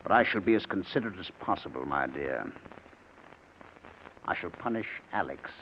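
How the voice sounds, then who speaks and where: Low-voiced, vengeful scheming husband, tinny radio. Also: male voice, lively intonation, normal speed. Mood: cold menace. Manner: sardonic, theatrically menacing. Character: scheming, vengeful husband feigning madness, coldly triumphant. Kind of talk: old-time radio mystery drama acting. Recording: tinny, noisy old radio recording.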